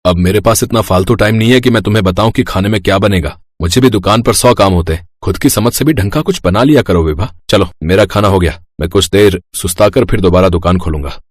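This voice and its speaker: A man's voice: low-pitched, fast talker, hardly any pauses, no room sound, studio quality. A man playing an irritable, tight-fisted middle-aged shopkeeper husband in a family story, curt and scolding. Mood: irritated.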